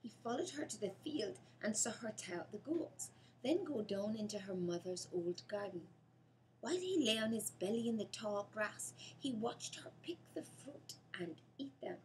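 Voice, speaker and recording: A woman's voice: medium pitch, a little hiss or hum, poor audio. A Jamaican woman reads a children's story aloud, narrating expressively.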